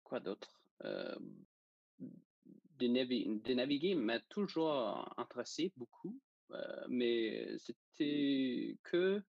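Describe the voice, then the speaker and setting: A young man with a Danish accent, speaking casually and haltingly as he searches for words. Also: medium pitch, lively intonation, no echo, highs cut off. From Denmark. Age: young adult. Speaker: interview guest, journalism student. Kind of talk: casual interview.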